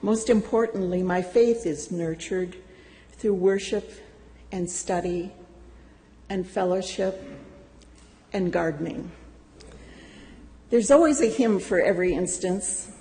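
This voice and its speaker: Slow older woman in a reverberant church. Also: lowish voice. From United States. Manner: slow, deliberate reading with gentle humor. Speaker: church member giving a testimony. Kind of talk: prepared personal testimony. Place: church sanctuary.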